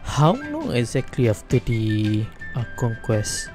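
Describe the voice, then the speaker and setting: A man's voice: medium pitch, animated, drawn-out words, music in the background. A man giving casual game playthrough commentary, muttering as he thinks aloud. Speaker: gaming video host.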